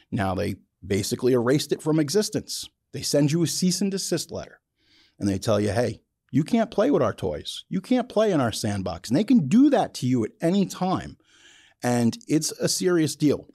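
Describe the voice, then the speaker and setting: A man's voice: medium pitch, a little mellow, no echo, clean studio sound. A man podcast host giving an opinionated, casual monologue in a blunt, emphatic, colloquial way.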